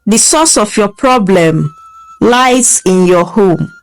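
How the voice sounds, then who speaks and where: A woman voicing an elderly male prophet, declaring things slowly and solemnly. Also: mid-range voice, expressive. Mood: ominous.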